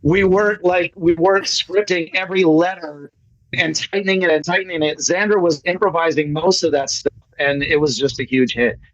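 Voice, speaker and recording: A man with a slightly high voice talks casually as a guest playwright and actor. He is on a lo-fi online call with very little room sound. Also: fairly even intonation, normal speed, a bit bright, no room sound. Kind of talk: casual discussion in an online meeting. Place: online video meeting. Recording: dull lo-fi video call audio.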